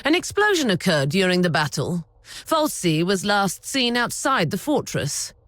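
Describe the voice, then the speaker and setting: An actress playing a grave female military officer in a video game, speaking in formal, measured reports. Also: medium pitch, sing-song, fairly bright tone, no room sound.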